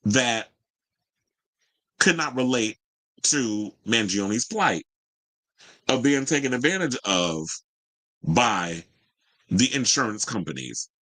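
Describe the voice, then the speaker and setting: A man's voice: medium pitch, lively intonation, slow, pauses often, pushed and bright, low-quality recording. An American man hosting a news and gossip show, reading stories and adding blunt, profane, sassy asides in colloquial speech.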